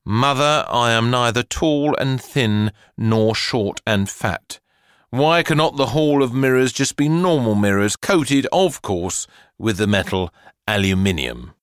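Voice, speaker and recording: A man's voice: medium pitch, lively intonation, measured pace, clear, resonant tone, no echo, studio quality, close to an everyday voice. A man voicing a pompous, humourless twelve-year-old boy from a storybook, with stiff, formal, disdainful speech. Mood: contemptuous.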